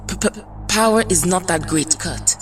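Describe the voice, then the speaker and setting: Low-pitched, timid superheroine played by a woman. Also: moderate pace, far from the mic, faint music behind, close to an everyday voice. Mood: hesitant. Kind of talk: superhero audio drama acting.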